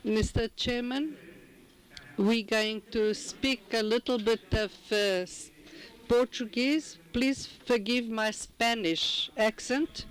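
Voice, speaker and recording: A man with a very high voice works as a simultaneous interpreter at a formal committee meeting. He speaks slowly, stumbling and correcting himself as he renders each phrase. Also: fairly bright tone, rough recording. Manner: halting, with frequent restarts and fillers.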